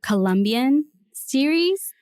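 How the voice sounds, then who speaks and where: A young woman with a fairly high voice chats casually as a podcast co-host. She tells her stories loosely and keeps dropping "like" as she goes. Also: animated, slow, darkish tone, clean tone. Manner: chatty, storytelling with many "like" fillers. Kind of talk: casual chat.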